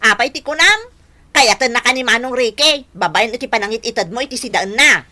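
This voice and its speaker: An actress playing a jealous, quarrelsome young village woman in an Ilocano radio drama, shrill, taunting and confrontational. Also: animated, brisk, pushed and bright.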